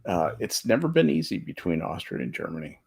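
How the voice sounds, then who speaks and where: A male panelist with a low voice full of creak and rasp. He muses wryly in casual conversation, and his pitch moves about in an animated way. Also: a little fast, faint music behind. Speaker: talk show panelist. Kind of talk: casual chat.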